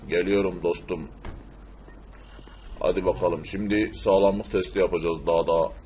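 A man playing a gruff, plaintive fellow who fusses over his beloved cars in an amateur cartoon dub. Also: low-pitched, steady tone, normal speed, warm tone, slight background noise, close to an everyday voice. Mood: proud, relaxed. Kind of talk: amateur cartoon-style game video dub.

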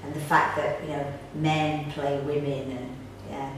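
A woman's voice: sits low, level tone, measured pace. A middle-aged woman, chatting informally in a casual interview. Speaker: pantomime actor. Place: small indoor room, seated on sofa. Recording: echoey room, distant mic, faint noise.

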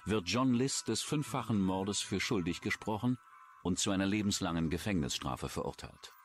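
A man's voice: low-pitched, expressive, normal speed. A man reading scripted true-crime documentary narration as the narrator. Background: music.

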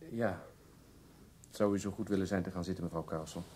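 A weary male police detective played by an actor in an old radio play. His voice is on the low side, grave and plain-spoken, with faint noise on the recording. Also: fairly even intonation, brisk, darkish tone, close to an everyday voice. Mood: grave, consoling. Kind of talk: radio drama acting.

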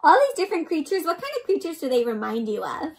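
A young woman reading a picture book aloud to children in an expressive, interactive way, often asking the listener questions. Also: sits high, quick pace, noisy background. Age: young adult.

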